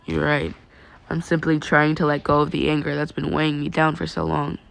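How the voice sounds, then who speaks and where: A guarded, stoic teenage boy read by a woman in a story. The delivery is subdued and monotone, stating things plainly in a lowish voice. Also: a little fast, gravelly. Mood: flat, resigned. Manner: monotone, matter-of-fact, subdued.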